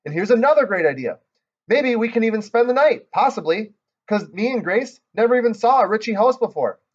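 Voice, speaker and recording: A man's voice: sits high, lively intonation, brisk, highs cut off, voice pushed up. A man voicing a chatty, bossy kindergarten girl who talks in cheeky, ungrammatical kid speech. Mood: excited.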